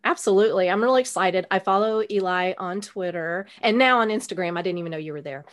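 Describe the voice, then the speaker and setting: A woman's voice: mid-range voice, fast talker. A woman hosting a podcast interview, talking enthusiastically and repeating words. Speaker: podcast host. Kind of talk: casual interview.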